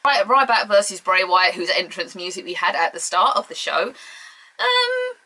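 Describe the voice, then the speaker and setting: A young British woman chatting excitedly to camera, giving opinionated and playfully teasing wrestling predictions. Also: mid-range voice, moderate pace. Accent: British English. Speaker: wrestling preview vlogger. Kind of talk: casual opinionated chat to camera.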